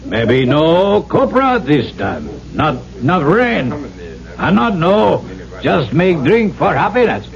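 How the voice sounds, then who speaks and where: An actor playing a servile, evasive island barman in an old radio drama, speaking haltingly in broken English. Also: fairly high pitch, big pitch swings, creaky, full character voice. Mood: obliging, evasive. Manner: halting, hedging, pidgin phrasing. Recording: muffled old radio broadcast, crowd behind.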